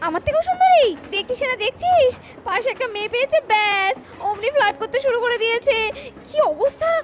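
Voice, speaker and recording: Woman as jealous young doll woman: very high pitch, lively swings, dramatic sarcasm. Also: fast, heavy background noise. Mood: jealous, indignant. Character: jealous, sharp-tongued young woman doll, fuming and scheming. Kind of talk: doll-cartoon dialogue.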